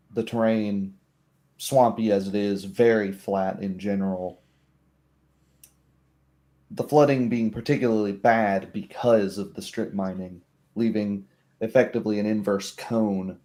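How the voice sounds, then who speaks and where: A man working as game master, narrating scenes for a tabletop roleplay in a measured, descriptive way. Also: on the low side, warm tone.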